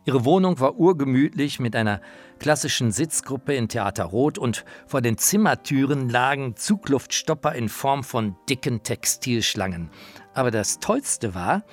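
A man narrating a radio feature in a colloquial, anecdotal storytelling manner. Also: medium pitch.

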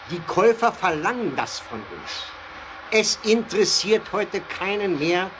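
An actor playing a pushy, impatient business director in a radio play, speechifying insistently. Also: male voice, high-pitched, noisy background. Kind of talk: theatrical radio play acting.

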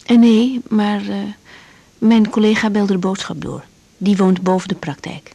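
A woman's voice: medium pitch, fairly even intonation, a little slow, creaky, warm tone. An actress playing a young woman doctor, composed, polite and slightly hesitant, in a naturalistic radio drama. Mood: polite, accommodating. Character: young female doctor, tactful, accommodating, composed.

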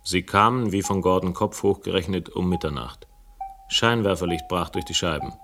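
Deep deadpan crime story narrator, ironic, faint music behind, radio play. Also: male voice, moderate pace. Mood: wry. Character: wry, laconic male narrator of a detective story.

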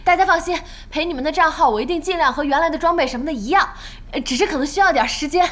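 High-pitched, spirited young cafe boss. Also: female voice, quick pace, fairly bright tone, a little hiss or hum. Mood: reassuring. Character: spirited, bossy young female internet cafe owner. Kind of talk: Chinese audio drama acting.

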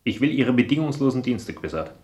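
An actor playing a proud, ruthless industrialist with a commanding, defiant manner in a radio drama. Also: male voice, medium pitch, very animated, fairly quick, darkish tone, lightly acted. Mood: commanding, urgent. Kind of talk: 1970s German radio drama acting.

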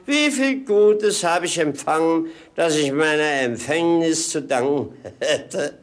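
A man's voice: a little high, takes it slowly. A man playing a cheeky, gluttonous, witty manservant in a 1950s radio play, with a droll, clownish, mock-polite delivery. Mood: droll, impudent.

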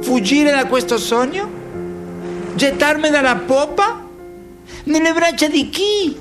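Impassioned migrant dreamer, theatrical radio poet, very high voice, slow declamation over loud music. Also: male voice. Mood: defiant, declamatory. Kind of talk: poetic theatrical radio monologue.

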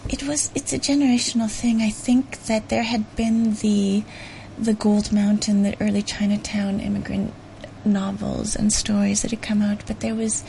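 A woman's voice: medium pitch, level tone, moderate pace, gravelly, few pauses, dark tone, slight background noise, rough recording. A Canadian-born woman author being interviewed on radio, thinking aloud with frequent ums and restarts. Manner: thoughtful, hesitant with many restarts and ums. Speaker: author guest.

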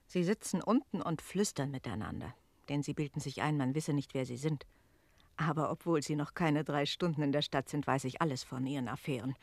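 A woman's voice: sits low, fairly quick, no echo. An actress playing a talkative, tender-hearted female innkeeper in a German radio play, gossipy and emphatic. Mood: gossipy, polite.

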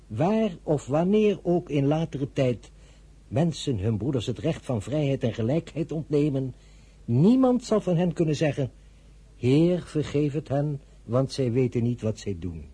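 Solemn middle-aged father, very dark husky voice, deliberate oratory, radio drama. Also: medium pitch, big pitch swings, exaggerated voice. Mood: grave, impassioned. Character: solemn, idealistic father advising his young daughter. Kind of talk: radio play acting.

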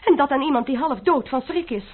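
A woman's voice: sits high, a little fast, lightly acted. A woman playing a haughty flirtatious mademoiselle. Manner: haughty, teasing. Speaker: mademoiselle de Breze. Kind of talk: radio play acting. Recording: lo-fi old radio recording.

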